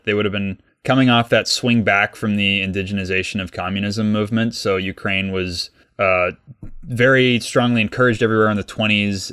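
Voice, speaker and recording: Deep-voiced man, rambling podcast talk. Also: a bit bright, clear, resonant tone, dry recording, studio quality. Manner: rambling, many fillers and restarts. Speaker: podcast co-host, guest expert. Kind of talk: casual podcast discussion.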